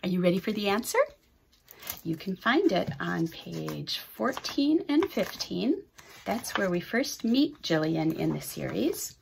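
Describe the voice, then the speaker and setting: A woman's voice: on the low side, very animated, measured pace, creaky, a little hiss or hum, rough recording. A woman in her fifties, a children's author, casually presenting trivia to kids from a home office.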